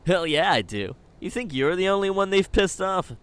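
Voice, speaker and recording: A man's voice: high-pitched, expressive, normal speed, a bit bright, smooth, dry recording. A man playing a cocky young wasteland companion who talks earnestly and speaks his mind. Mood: concerned.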